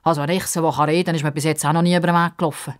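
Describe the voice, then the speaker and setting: A woman's voice: deep voice, steady tone, rapid-fire, close to an everyday voice. A woman voicing a grumpy, blustering old man in a children's story. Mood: flabbergasted. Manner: blustering, scolding, muttering. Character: grumpy, easily startled old man, cursing and grumbling.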